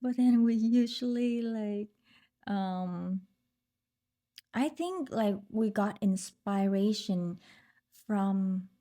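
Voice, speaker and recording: A woman's voice: mid-range voice, warm tone. A woman from Vietnam with a Vietnamese accent, speaking English as a podcast guest, chatting casually with fillers and restarts. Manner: unhurried, with fillers and restarts, laughs often. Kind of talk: casual interview chat.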